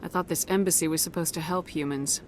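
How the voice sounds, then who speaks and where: An actress playing a firm, no-nonsense military commander in a science-fiction game, direct and cool. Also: female voice, deep voice, steady tone, fast, a little hiss or hum, natural voice. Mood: questioning, blunt. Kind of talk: video game dialogue acting.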